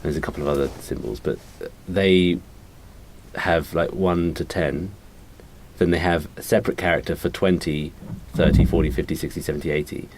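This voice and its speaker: A man's voice: very deep voice, steady tone, normal speed, darkish tone, slight background noise. A man co-hosting a podcast, chatting casually as he explains and debates things. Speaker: podcast co-host.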